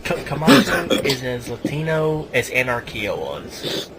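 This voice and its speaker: A man's voice: mid-range voice, big pitch swings, a little slow, a crowd in the background. A man chatting casually on a podcast panel, joking and sometimes stammering. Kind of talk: casual group chat. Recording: noisy group podcast audio.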